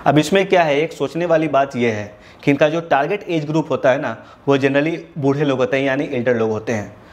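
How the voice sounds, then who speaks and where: A man's voice: mid-range voice, fairly quick. An Indian-accented man presenting an educational tech video, explaining things step by step and mixing in English terms. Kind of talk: explanatory tutorial narration.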